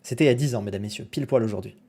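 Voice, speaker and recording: A young man reacting to his own old video on a live stream, animated, exclamatory and self-mocking. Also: medium pitch, rapid-fire.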